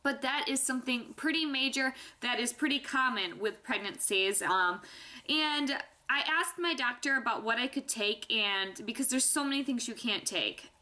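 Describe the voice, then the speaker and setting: A woman's voice: fairly high pitch, bright, forceful tone. A young woman chatting candidly to her viewers in a casual vlog, a little stuffy-nosed. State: stuffy nose. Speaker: vlogger. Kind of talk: casual pregnancy vlog chat.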